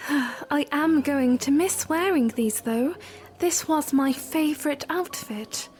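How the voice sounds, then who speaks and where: A woman playing a polite, gentle young princess, shy and genteel, in a fan audio drama. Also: high-pitched, slow, faint music behind. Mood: wistful.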